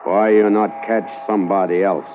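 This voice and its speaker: An actor playing a bitter, defiant prisoner who speaks in clipped broken English, in a 1950s radio drama. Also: male voice, lowish voice, flat delivery, moderate pace, over music, lightly acted. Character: defiant proud horse thief prisoner, bitter. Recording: tinny old radio transcription, hiss.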